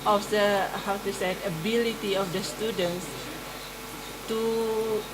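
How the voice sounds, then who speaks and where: A woman with an Indonesian accent talks in a group discussion at a workshop and often stops to search for the right word. Her pitch is mid-range. Many people chatter around her. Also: fairly even intonation, takes it slowly, poor audio. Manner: hesitant, searching for words. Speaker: university teacher. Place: workshop room. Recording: crowd chatter in background.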